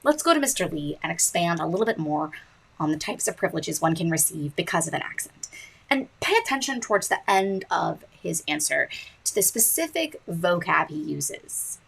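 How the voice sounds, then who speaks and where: Gravelly husky lady host, wry deadpan narration, lowish voice. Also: normal speed, poor audio. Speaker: podcast host. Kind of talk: scripted narration with dry asides.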